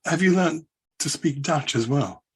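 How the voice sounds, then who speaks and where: A man co-hosting a podcast, asking friendly, halting questions with fillers. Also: on the high side, expressive, husky. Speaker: podcast co-host. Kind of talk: casual interview questions.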